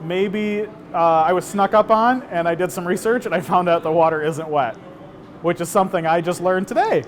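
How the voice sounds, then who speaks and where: A man's voice: high-pitched, moderate pace. A man who works at a company, chatting casually for a vlog. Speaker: company employee. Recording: clear background noise.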